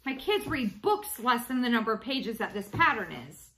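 A woman's voice: fairly high pitch, sing-song, moderate pace, sharp tone, slight background noise. A woman in her late thirties chatting casually to camera at home about her cross-stitch project, rambling with many ums and restarts. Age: 30s. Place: small room indoors at home.

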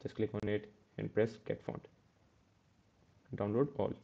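A man's voice: on the low side, level tone, a little slow, vocal fry, mellow, muffled recording. A man teaching a step-by-step coding tutorial over a screen recording, correcting himself as he goes. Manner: step-by-step, self-correcting with "uh" and "okay". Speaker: programming tutor.

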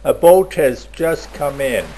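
A man narrating a travel video in a plain, measured voice-over. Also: a little high, animated, warm tone, a little hiss or hum. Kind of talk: slow scripted travel voice-over.

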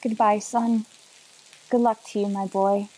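A woman's voice: medium pitch, level tone, measured pace, mellow, natural voice, rough recording. A woman playing a loving, worried mother of a grown son in an old-time radio drama, hesitant and pleading. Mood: worried, tender.

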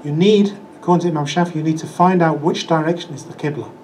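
Older man, lecturing, handheld mic, faint hiss, slightly high voice. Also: quick pace, a little mellow. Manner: conversational, acts out excuses in quoted voices. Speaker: religious teacher. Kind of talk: lecture with audience questions. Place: small hall with projector screen. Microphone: handheld microphone.

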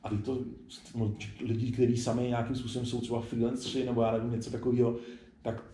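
A man's voice: mid-range voice, flat delivery, warm tone. A man in his forties talking casually and reflectively in an interview, restarting his sentences and stressing his points. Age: 40s. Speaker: interviewed illustrator and studio founder. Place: indoor spray-paint shop, at a table. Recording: roomy sound with faint background noise.

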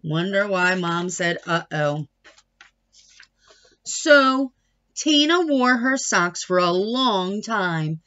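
Female picture-book reader, middle-aged, slow and emphatic, slightly dull webcam sound. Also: mid-range voice, expressive, a bit bright. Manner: emphatic, stretches words, asides to listeners. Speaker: read-aloud storyteller. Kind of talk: expressive children's picture book reading. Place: small wood-panelled room at home.

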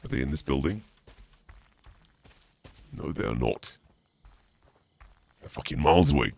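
A man's voice: expressive, a little slow, a little mellow. A man streaming a horror game, swearing and mocking in theatrical live commentary. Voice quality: deep, gravelly, through a voice changer at times. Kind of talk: live gameplay commentary, trash talk.

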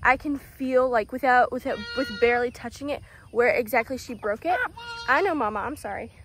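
A young woman with a Southern US accent, talking casually through a how-to farm vlog, full of "um"s and soft, soothing words to her animals. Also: a little high, brisk, noisy background. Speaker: vlogger caring for farm animals. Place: outdoors on a farm by animal pens. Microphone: phone camera held close, selfie framing.

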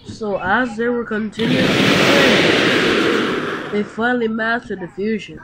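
Higher-pitched woman, noisy game recording. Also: takes it slowly. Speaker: Minecraft roleplay video maker. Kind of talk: improvised roleplay dialogue and narration.

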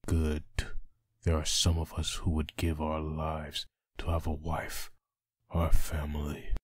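A man playing a brooding, menacing undead vigilante in a comic dub, with a raspy voice and slow, grim delivery. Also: monotone, dry recording, studio quality, exaggerated voice. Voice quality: deep, raspy.

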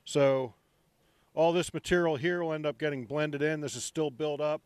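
A middle-aged man giving a relaxed walking tour of an outdoor construction site, speaking into a handheld microphone with many ums. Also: on the high side, moderate pace, smooth, no room sound.

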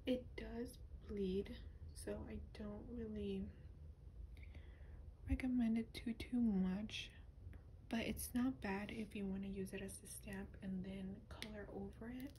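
A woman speaking softly and breathily, often whispering, in a gentle, unhurried ASMR show-and-tell. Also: mid-range voice, steady tone. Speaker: doll collector showing her collection. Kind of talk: soft-spoken ASMR product show-and-tell. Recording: noisy lo-fi ASMR recording.

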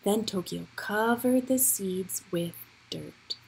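Female storybook reader, medium pitch, slightly breathy husky tone, expressive read-aloud for young children. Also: slow pace.

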